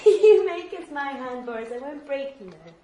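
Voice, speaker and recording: An actress playing a lively, wilful, teasing sixteen-year-old aristocratic girl in a radio drama. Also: high-pitched, normal speed, a little hiss or hum. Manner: playful, impetuous, pleading with her mother.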